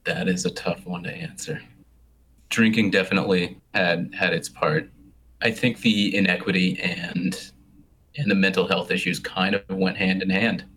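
Very deep, bright-toned man, reflective. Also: fairly even intonation, normal speed, husky, poor audio. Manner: reflective, hesitant, with repeated restarts. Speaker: interviewee on a phone call. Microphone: phone call.

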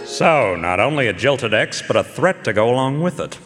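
An actor playing a wry, hard-boiled private detective, narrating and questioning in a live radio drama. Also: male voice, mid-range voice, big pitch swings, normal speed, lightly acted. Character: wry male private eye narrating his own case. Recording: reverberant hall, music underneath. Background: music.

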